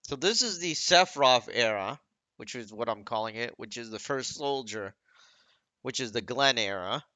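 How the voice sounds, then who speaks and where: A man's voice: mid-range voice, expressive, moderate pace, sharp tone, no room sound, rough recording. A man giving casual, off-the-cuff game commentary, rambling and often second-guessing his own wording. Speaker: gaming video creator.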